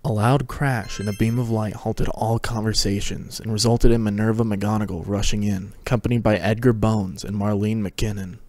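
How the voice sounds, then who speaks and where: A man's voice: on the low side, steady tone, normal speed, few pauses, darkish tone, faint music behind. A man giving a scripted dramatic reading of a fantasy story as narrator. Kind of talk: dramatic reading of fan fiction.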